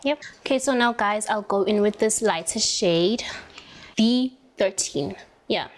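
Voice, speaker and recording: Young South African woman, chatty and rambling. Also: medium pitch. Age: young adult. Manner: rambling, many "like" fillers, drawn-out words. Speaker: interviewee doing makeup. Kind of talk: casual chat while applying makeup.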